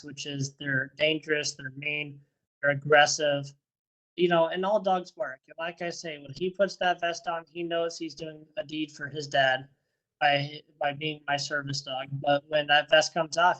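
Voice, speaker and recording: Man, rambling and friendly, slightly high voice, repeats himself, heard through a video call. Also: fairly bright tone, dull audio. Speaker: interviewee, first responder and dog handler. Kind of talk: casual interview answers.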